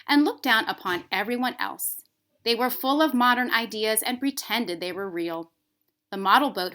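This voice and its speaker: A woman's voice: medium pitch, fairly bright tone. Woman reading a storybook to children. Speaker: school librarian reading aloud. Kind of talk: children's storybook read-aloud.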